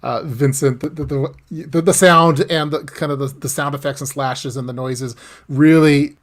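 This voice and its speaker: A man hosting a casual live interview, talking in a halting way with lots of restarts and small laughs. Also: on the high side, steady tone. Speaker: host.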